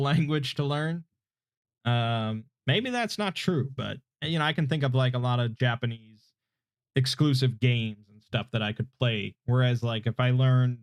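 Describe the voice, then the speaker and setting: A calm man in his thirties chats through viewer questions from home, hedging and joking at his own expense. His voice is relaxing and mid-pitched. The desk mic sounds a little muffled, in a dry room with no echo. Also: clear, resonant tone. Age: 30s. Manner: relaxed, hesitant, self-deprecating, many fillers. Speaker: YouTube host answering viewer questions. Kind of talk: casual live Q&A chat. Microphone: desk microphone without his usual equalizer, slightly muffled.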